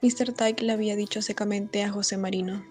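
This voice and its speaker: A woman narrates a scripted radio serial in a flat, even, mid-pitched voice. Music plays faintly behind her. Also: brisk.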